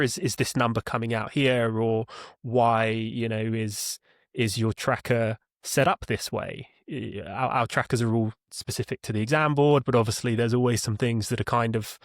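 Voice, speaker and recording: A man talking as a podcast guest, explaining his work conversationally and haltingly, often repeating words and correcting himself. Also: mid-range voice, no echo, clean studio sound. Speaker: podcast guest, customer support leader.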